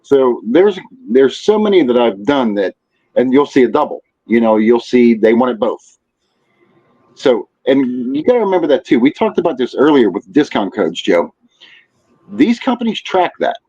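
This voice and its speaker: Slightly muffled, lo-fi audio of a man as a podcast guest. He has a medium-pitched voice and gives advice in a casual, rambling way, often dropping in filler phrases. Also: big pitch swings, moderate pace, warm tone. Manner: rambling, peppered with "you know". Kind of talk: casual chat, giving advice. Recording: dull, lo-fi audio.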